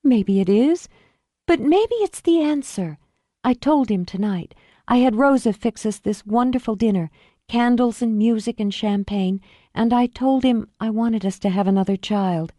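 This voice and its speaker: A woman voicing a distraught young mother who pleads through tears, her voice breaking high. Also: measured pace, dark tone, no room sound, studio quality, natural voice. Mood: anguished. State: tearful.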